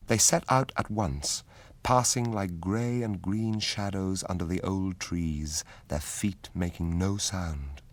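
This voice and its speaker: A man narrating an epic fantasy radio drama as a calm, formal storyteller. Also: deep voice, slow pace, hardly any pauses. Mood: calm narration.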